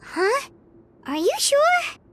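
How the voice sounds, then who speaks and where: Casting: excitable childlike game fairy, very squeaky, drawn-out bossy delivery, heard over loud music. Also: female voice, slight background noise, heavily acted. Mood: surprised. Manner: squeaky, scolding, refers to self in third person. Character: tiny childlike floating fairy companion, female, bossy and excitable. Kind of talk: video game voice acting.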